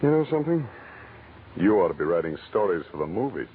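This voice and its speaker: An actor playing a sceptical, hard-boiled interrogator in an old-time radio crime drama, probing and sardonic. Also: male voice, sits low, sing-song, quick pace. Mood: sceptical, mocking. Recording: tinny, noisy vintage radio recording.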